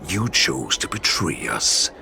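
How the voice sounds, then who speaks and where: Ancient wolf warlord, gravelly, slow, contemptuous, commanding, swinging intonation, video game villain. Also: male voice, sits low, big pitch swings, bright, forceful tone, slight background noise, full character voice. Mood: scornful. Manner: slow, imperious. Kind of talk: dramatic video game voice acting.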